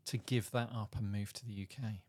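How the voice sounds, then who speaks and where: A man's voice: on the low side, fairly even intonation, a little fast, husky. A man hosting a podcast interview, friendly, with hesitant fillers. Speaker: podcast host.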